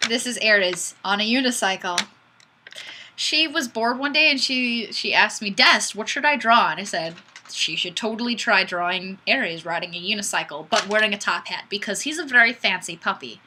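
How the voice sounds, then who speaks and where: A woman hosting a casual live stream, chatting and reading comments in a goofy, animated, exclamatory way. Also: medium pitch, normal speed, noisy background. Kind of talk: casual chat, reading viewer comments aloud.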